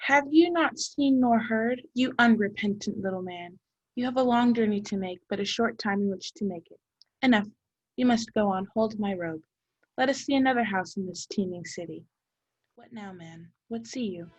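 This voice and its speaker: A woman's voice: mid-range voice, normal speed, breathy edge, dull audio, lightly acted. Stern, solemn spirit played by a young woman. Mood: stern, commanding. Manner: solemn, declamatory. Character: stern, solemn Christmas spirit, scornful judge of men. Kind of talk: radio play acting.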